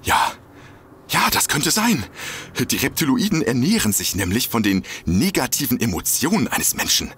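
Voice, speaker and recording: An actor playing an eager, gullible amateur detective in a comedic audio drama, earnest, excitable and a bit hesitant. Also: male voice, medium pitch, normal speed, slight background noise. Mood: earnest, conspiratorial. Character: eager, gullible male amateur detective obsessed with lizard people.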